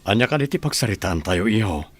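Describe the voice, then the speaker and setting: A man's voice: on the low side, very animated, fast talker, soft background music. Emphatic, swooping-voiced father. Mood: questioning, surprised. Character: inquiring father of a grown son. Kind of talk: radio drama acting.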